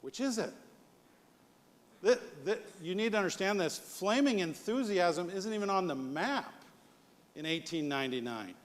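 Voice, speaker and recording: High-voiced American man speaking in a reverberant hall. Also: expressive, moderate pace. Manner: conversational, rhetorical questions with "right?" tags. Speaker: conference speaker. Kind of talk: live leadership presentation.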